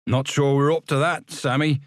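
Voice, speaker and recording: A man's voice: on the high side, level tone, moderate pace, no echo, pitched above the performer's own voice, lightly acted. Give me a man doing a plain-spoken young pauper, in a dry close recording. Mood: scheming. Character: streetwise young male pauper, practical.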